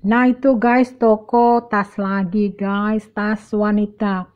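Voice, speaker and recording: Woman, middle-aged, medium pitch, chatty casual vlogging, repeats words, muffled lo-fi phone recording. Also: flat delivery, slow, a little hiss or hum. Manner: chatty, addressing viewers directly, repeating words. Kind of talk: casual walk-and-talk shopping vlog. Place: large indoor shopping mall.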